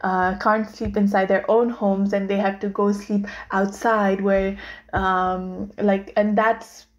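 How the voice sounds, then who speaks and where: A young woman with a Nepali accent talks casually as a podcast co-host. Her pitch sits in the middle range, and her speech is loose and chatty, full of fillers. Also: little pitch movement, slow, muffled recording. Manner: chatty, laughs often, many fillers. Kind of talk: casual conversation.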